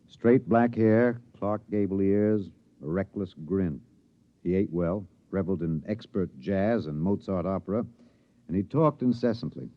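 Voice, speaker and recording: An actor playing an earnest, somber news reporter, narrating solemnly in a 1950s radio drama. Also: male voice, low-pitched, a little slow, dry recording. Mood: somber, wistful. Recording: dull 1950s radio recording.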